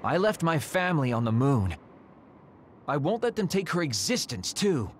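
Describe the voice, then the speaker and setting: An actor voicing a laid-back but secretly determined young soldier in a video game, casual, candid and dramatic. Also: male voice, a little high, very animated, normal speed, slight background noise. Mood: resolute. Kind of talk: video game cutscene voice acting.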